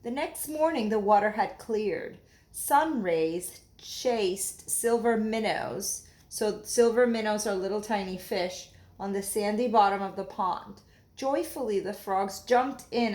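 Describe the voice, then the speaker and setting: A woman reading a children's story aloud like a teacher, expressive and deliberate, explaining things as she goes. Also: medium pitch.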